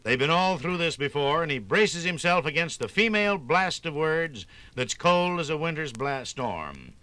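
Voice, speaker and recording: A man narrating a scripted 1950s radio program in a theatrical, folksy storytelling manner with drawn-out emphasis. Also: fairly high pitch, sing-song, bright, forceful tone.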